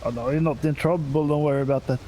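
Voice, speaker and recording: A man with a Swedish accent chatting in character at a roleplay game, hesitant and full of pauses. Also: on the high side, steady tone, warm tone, slight background noise, rough recording. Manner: hesitant, many "uh"s. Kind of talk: casual in-character chat.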